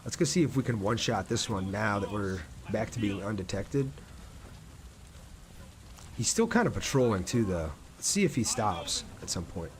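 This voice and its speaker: A man's voice: fairly low pitch, sing-song, normal speed. A man casually commentating on a game livestream and chatting with his viewers. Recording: clear background noise, game ambience.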